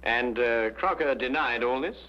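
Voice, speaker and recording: An actor playing a cool, inquisitive gentleman detective, musing and courteous, in an old-time mystery drama. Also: medium pitch, moderate pace, lightly acted. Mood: sceptical. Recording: dull old radio recording.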